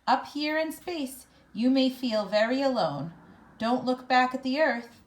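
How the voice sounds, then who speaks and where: A woman reading a children's picture book aloud in a measured, expressive storytelling manner. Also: high-pitched. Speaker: storybook reader.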